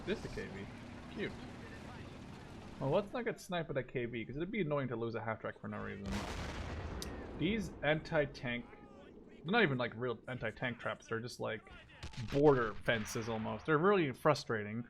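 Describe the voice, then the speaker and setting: A man's voice: mid-range voice, animated, normal speed, vocal fry, background noise. A man in his twenties from the Netherlands giving casual, rambling let's-play commentary, thinking aloud and swearing offhandedly. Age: 20s. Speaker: gaming YouTuber.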